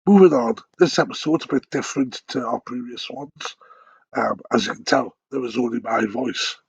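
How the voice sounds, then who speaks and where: A raspy, gravelly man talks through a head cold, sounding croaky and nasal. He chats loosely, with ums and false starts. The recording is dry and a little dull. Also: medium pitch, no echo. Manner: chatty, conversational, with ums and restarts. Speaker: podcast host and paranormal investigator. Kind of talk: solo podcast monologue. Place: at home in bed.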